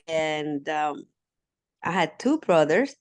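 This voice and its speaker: Low-voiced Puerto Rican woman in her fifties. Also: expressive, takes it slowly. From Puerto Rico. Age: 50s. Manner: warm storyteller with many "um"s, laughing often. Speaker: guest. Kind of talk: casual interview talk.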